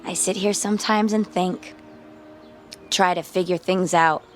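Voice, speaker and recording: A woman's voice: fairly low pitch, steady tone, unhurried, close to an everyday voice. A young woman playing a frank, curious schoolgirl in a radio drama, casual and wry in manner. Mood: soft, curious. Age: young adult. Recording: music bed and vehicle sounds behind.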